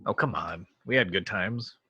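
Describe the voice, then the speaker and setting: A man playing an earnest actor famed as a heroic sci-fi captain in an amateur table read, halting and sheepish at times. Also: low-pitched, sharp tone, slightly rough, no echo, lo-fi sound, exaggerated voice. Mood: sheepish, nostalgic.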